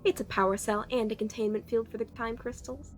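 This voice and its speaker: A woman playing an exasperated, technically minded time-travel engineer in an audio drama, explaining briskly. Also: a little high, fairly even intonation, faint music behind, lightly acted. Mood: grave, urgent. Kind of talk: cinematic audio roleplay acting.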